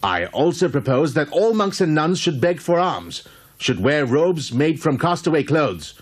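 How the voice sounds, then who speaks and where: A man as a husky, scheming rebel monk. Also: a little high, sing-song, a little slow, heavily acted. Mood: insistent. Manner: formal, insistent. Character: defiant, scheming male monk challenging his master. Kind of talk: animated religious drama dialogue.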